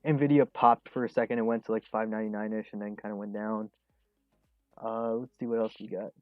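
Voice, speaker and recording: A man's voice: on the low side, brisk, no echo, narrow, tinny audio, soft background music. A man in his early twenties hosting a casual trading livestream, answering viewer questions with frequent chuckles and fillers. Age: 20s. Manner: relaxed, chuckles often, many fillers. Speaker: stock trading livestream host.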